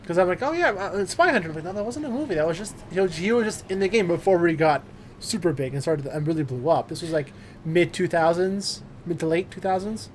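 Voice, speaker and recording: A man with a noticeably high voice chats casually as a podcast co-host. He is animated and jokey and swears freely. Faint background noise sits under his voice. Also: quick pace. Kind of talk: casual chat about films and video games.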